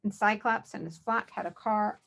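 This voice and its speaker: A woman's voice: medium pitch, moderate pace, vocal fry, a bit bright, slight background noise. A woman narrating a children's picture book aloud as its author.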